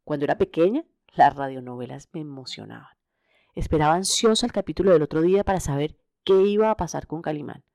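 A woman's voice: low voice, fast talker, a little mellow, dry recording. A middle-aged woman with a Colombian accent hosting a podcast alone, reading a scripted personal narration. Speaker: podcast host.

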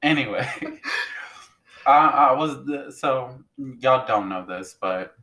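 A young man from New Orleans co-hosting a podcast, talking in a loud, animated, profane way. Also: medium pitch, takes it slowly. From New Orleans, Louisiana. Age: young adult. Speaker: podcast co-host. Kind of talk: casual chat.

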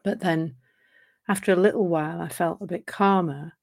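Woman, gentle reflective coach, slightly croaky, lower voice. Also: fairly even intonation, moderate pace. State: slightly croaky from a sore throat. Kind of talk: live talk on relationships.

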